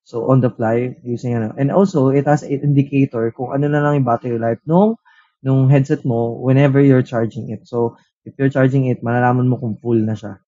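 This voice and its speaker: A man's voice: mid-range voice, a little fast, lo-fi sound. A man with a Filipino accent hosting a tech review, talking casually and mixing in Tagalog. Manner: relaxed Taglish code-switching, frequent "uh" and "so". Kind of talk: casual product review and demo. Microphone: headset built-in mic.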